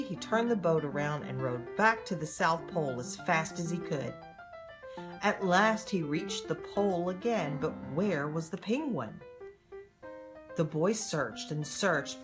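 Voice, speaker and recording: Older female storyteller, grandmother type, slightly low register, highly varied intonation, simple direct delivery for young children. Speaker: grandmother reading aloud to grandchildren. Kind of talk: expressive children's storybook read-aloud.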